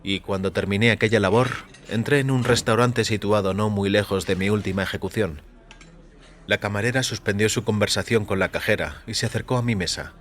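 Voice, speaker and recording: A man narrating a scripted first-person story in a measured, literary way. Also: fairly low pitch, steady tone, a bit bright.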